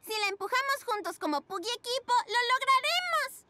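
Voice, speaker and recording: A woman's voice: very high voice, sing-song, brisk, heavy background noise. A woman voicing an eager, cheerful little girl pug puppy in a children's cartoon dub, earnest and full of exclamation.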